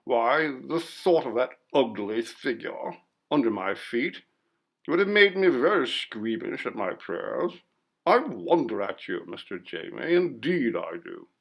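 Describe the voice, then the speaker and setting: Blustering pompous old-fashioned gentleman, acted by a man. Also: mid-range voice, big pitch swings, measured pace, vocal fry, full character voice. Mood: scornful indignation. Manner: emphatic, old-fashioned, indignant speech. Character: blustering, bold, pompous Protestant gentleman, scornful and moody. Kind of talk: dramatised ghost story reading.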